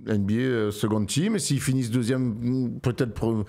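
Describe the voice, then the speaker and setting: Male co-host, chatty, teasing, mid-pitched. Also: steady tone, moderate pace, warm tone. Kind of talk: casual sports talk.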